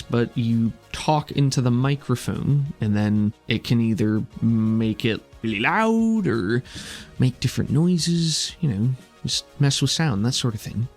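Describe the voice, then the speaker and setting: A woman's voice: extremely low pitch, expressive, a little mellow, exaggerated voice. Woman doing awkward armored inventor girl in an rpg, hesitant n earnest, music under it. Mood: hesitant, modest. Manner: hesitant, halting.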